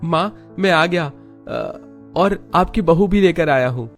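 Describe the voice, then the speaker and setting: A man's voice: a little high, animated, slow pace, soft background music, voice pushed up. A man voicing an earnest, lovesick young rich man who pleads in a cartoon story. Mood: earnest, apologetic. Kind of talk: animated moral story character voice.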